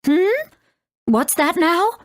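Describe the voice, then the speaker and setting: A woman's voice: extremely high pitch, very animated, slow, heavily acted. A woman voicing a brusque but doting mother condor in a video game. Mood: brusque, worried. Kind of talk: English game dub.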